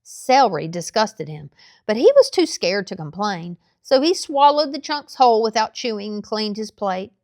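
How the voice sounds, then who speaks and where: A woman narrating a children's novel aloud in a measured, expressive storytelling style. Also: medium pitch, no room sound, studio quality.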